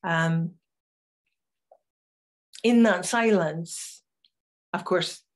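An older woman with a soft Northeast Scottish lilt talks to a webcam from a room at home. Her voice sits fairly low, and she speaks in a reflective storytelling way, with unhurried pauses. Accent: Northeast Scottish, Doric. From northeast Scotland. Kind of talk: personal talk to camera. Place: home room with curtains, indoors.

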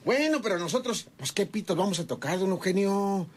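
A man's voice: high-pitched, expressive, brisk, slight background noise. A man acting a simple, cheeky ranch hand, a droll comic sidekick with a rural northern Mexican accent, in an old-fashioned radio drama. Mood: skeptical, droll.

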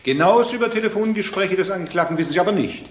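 Stern judge, echoey and animated. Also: male voice, high-pitched, fast talker. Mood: stern, probing. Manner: formal, authoritative, probing. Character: stern, impatient male presiding judge. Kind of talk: German radio courtroom drama acting. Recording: echoey courtroom-like room.

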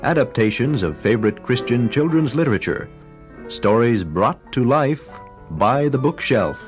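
A man's voice: medium pitch, lively intonation, measured pace, soft background music. A man announcing a vintage radio program in a scripted introduction.

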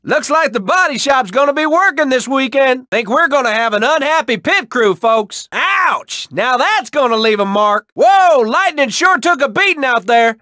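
An actor playing a folksy, excitable race announcer in a video game, exclaiming and stretching words for emphasis in projected sports commentary. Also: male voice, normal speed, few pauses, no echo, clean studio sound, full character voice. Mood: dismayed excitement. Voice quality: projected, high-pitched.

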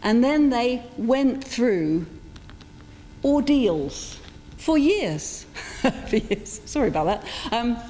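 A middle-aged woman giving an informal, reflective sermon in a conversational storytelling style, with pauses for emphasis. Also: fairly high pitch, very animated, warm tone. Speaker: preacher. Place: church interior, speaking to a congregation. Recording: echoey church hall with background noise.

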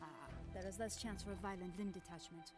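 A woman's voice: medium pitch, steady tone, a little fast, lightly acted. A woman playing a brainy, awkward middle-aged scientist in a video game, speaking in clipped, formal phrases that drop small words. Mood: matter-of-fact. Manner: clipped, formal, article-dropping speech. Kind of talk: video game cutscene acting. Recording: loud music underneath.

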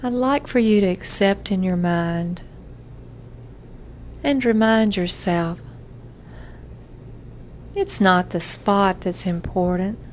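A woman therapist leading a guided self-hypnosis relaxation session, giving gentle, measured instructions with frequent pauses. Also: medium pitch, slight background noise.

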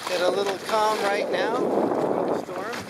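A man's voice: high-pitched, flat delivery, unhurried. A middle-aged man sailing with his son on a small boat, cheerfully narrating and explaining as he goes. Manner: upbeat, explaining to his child. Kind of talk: casual narration while sailing. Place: outdoors on a small sailboat on a bay. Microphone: action camera on the boat. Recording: loud wind and water noise.

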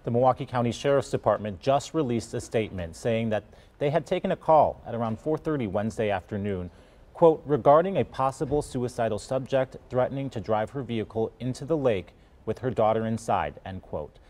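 A man news anchor reading in a crisp, formal delivery. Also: mid-range voice, animated, fairly quick, darkish tone.

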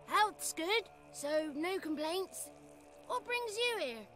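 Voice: extremely high pitch, very animated, takes it slowly, fairly bright tone, faint music behind, exaggerated voice. An actor voicing a small, cheeky, childlike male godling in a video game, chatty and rustic. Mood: breezy, chatty. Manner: chatty, rustic old-fashioned turns of phrase. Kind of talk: video game character acting.

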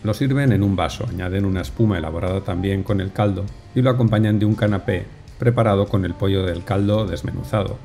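Mellow-voiced male voice-over narrator. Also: low-pitched, gravelly. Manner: measured, unhurried, descriptive. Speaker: food vlogger narrator. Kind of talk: calm scripted voice-over narration. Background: soft music.

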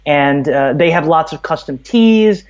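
A man's voice: a little high, expressive, measured pace, lo-fi sound. A man from Brooklyn, telling stories conversationally as a podcast guest. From Brooklyn, New York, USA. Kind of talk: conversational interview, storytelling.

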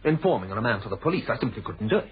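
A man's voice: mid-range voice, big pitch swings, very fast, husky. An actor playing an uneasy, evasive, educated motorist with a British accent in a radio thriller, clipped and defensive in his speech. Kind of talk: British radio drama acting. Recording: muffled band-limited old radio broadcast.

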